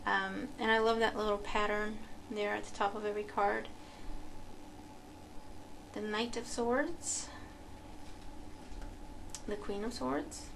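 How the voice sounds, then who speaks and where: Gravelly woman. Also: mid-range voice, fairly even intonation, takes it slowly, long pauses, background noise. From Romania. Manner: musing, thinking aloud with fillers and restarts. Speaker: tarot reader. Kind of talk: casual unboxing commentary. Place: home room at a table.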